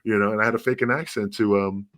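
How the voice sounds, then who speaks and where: A man co-hosting a podcast, telling stories in casual, profane, animated chat. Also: lowish voice, fast, dry recording, clean studio sound. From Puerto Rican. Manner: profane, animated storytelling with laughs. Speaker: podcast co-host, former referee.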